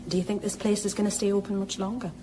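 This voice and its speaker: A woman's voice: mid-range voice, flat delivery, quick pace, noisy background, close to an everyday voice. An actress playing a kindly but disillusioned female colleague in a naturalistic radio drama.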